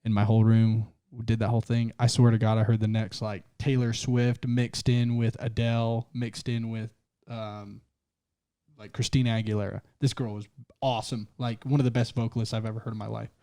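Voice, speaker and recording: A young man from Utah chats on a podcast, telling a long, rambling story with plenty of swearing. His voice sits mellow and dark in tone. The sound is dry and close to the mic. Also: on the low side, flat delivery, brisk, smooth, no echo. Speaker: guest singer-songwriter. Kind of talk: casual podcast chat.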